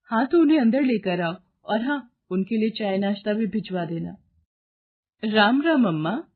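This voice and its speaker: A woman's voice: medium pitch, lively intonation, moderate pace, narrow, tinny audio, lightly acted. A woman voicing a kind, generous rich young woman in a moral story, polite, confident and decisive. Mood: confident, friendly. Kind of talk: acted dialogue in a moral story.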